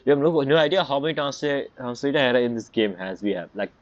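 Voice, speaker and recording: A man's voice: medium pitch, lively intonation, no echo. Casual male gamer, muffled sound. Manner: rambling, halting, non-idiomatic phrasing. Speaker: gaming streamer. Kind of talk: casual game playthrough commentary. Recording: muffled, game music behind.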